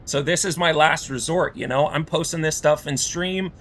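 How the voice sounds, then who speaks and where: A man streaming a game, talking casually, rambling with many fillers while he vents frustration, his voice going hoarse from talking all day. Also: fairly high pitch, moderate pace, a bit bright, a little hiss or hum.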